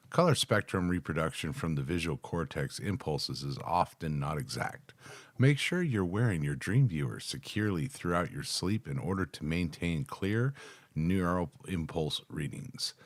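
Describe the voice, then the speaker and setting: A middle-aged American man with a low voice, close on the microphone. He reads text aloud in an informal way and drops in his own offhand comments as he reacts. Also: no room sound. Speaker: reaction video host. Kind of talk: casual live reaction, reading text aloud with asides. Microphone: close microphone on a boom arm, headphones on.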